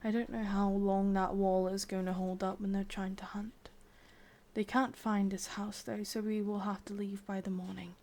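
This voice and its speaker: A woman with an English accent playing a possessive, lovesick witch in a whispered ASMR roleplay, coaxing softly and intimately, close to the microphone. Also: mid-range voice, level tone, normal speed, natural voice. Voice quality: breathy, whispery.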